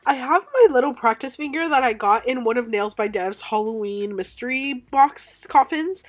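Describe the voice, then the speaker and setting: A woman's voice: fairly high pitch, normal speed, faint music behind. A young woman with a congested, stuffed-up voice from being sick, chatting through a casual nail-tutorial voice-over at home, with lots of ums and self-corrections. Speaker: nail art creator.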